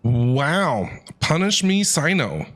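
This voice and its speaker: A man's voice: a little high, very animated, slow pace, a bit bright. A man livestreaming casual game commentary, playfully talking back to the characters in slangy speech.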